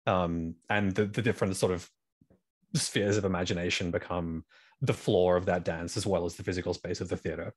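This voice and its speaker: A young man speaking casually as a panel guest, halting as he thinks aloud into a close microphone on a video call. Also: low voice, steady tone, husky. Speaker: sound designer, panel guest. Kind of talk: casual conversational explanation. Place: indoor room on a video call. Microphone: studio microphone on a boom, headset.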